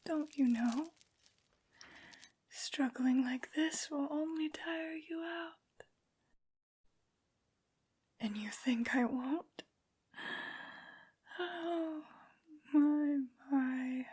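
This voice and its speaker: A woman voicing a tall, haughty, menacing female vampire noble in a breathy, mostly whispered ASMR roleplay, imperious and scolding. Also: high voice, a little mellow. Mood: menacing, gloating. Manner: imperious, slow, drawn-out scolding. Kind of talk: soft-spoken ASMR roleplay.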